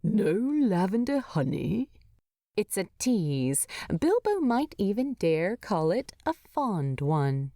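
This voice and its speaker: A woman reads a story aloud with lively, varied intonation. Her pace is measured and her voice sits at a medium pitch. The recording is dry and intimate. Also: dry recording. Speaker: fan fiction narrator. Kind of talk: expressive scripted story narration.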